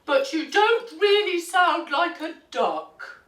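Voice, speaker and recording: A woman's voice: extremely high pitch, sing-song, takes it slowly, a bit bright, voice pushed up, full character voice. A woman voicing friendly, helpful farm animals in a children's storybook. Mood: apologetic, helpful. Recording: roomy, distant microphone.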